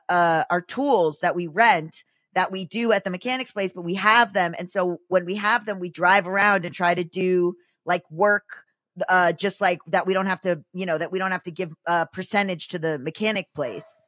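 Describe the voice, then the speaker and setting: A woman's voice: on the low side, normal speed, fairly bright tone, no echo. A woman co-hosting a comedy podcast, telling anecdotes in a colloquial, teasing, slangy way. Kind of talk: casual comedic chat and anecdote.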